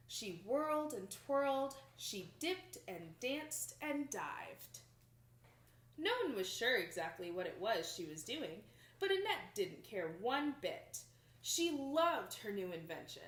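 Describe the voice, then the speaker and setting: A young woman reads a children's picture book aloud with expressive, dramatic emphasis, recorded in a small room indoors. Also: mid-range voice. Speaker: storybook reader. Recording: roomy home recording.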